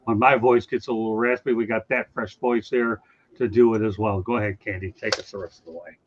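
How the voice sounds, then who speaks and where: A male host of a sports talk show, his voice going a touch raspy. He leads the crew through casual wrap-ups and hands off to his co-hosts. The recording is muffled and lo-fi. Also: on the low side, fairly even intonation, brisk. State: voice getting raspy. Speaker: podcast host.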